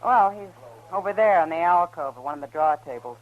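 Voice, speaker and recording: A woman's voice: fairly low pitch, lively intonation, brisk, close to an everyday voice. An actress playing an easygoing, worldly saloon woman in a radio western, talking in a casual, knowing way. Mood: knowing, gossipy. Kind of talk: 1950s radio western acting. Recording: thin, phone-like old radio recording.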